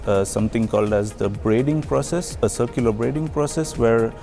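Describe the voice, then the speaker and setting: A man from India, a doctoral researcher, giving recorded interview answers in a measured way with many pauses and fillers. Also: mid-range voice, creaky, mellow, faint music behind. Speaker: PhD researcher.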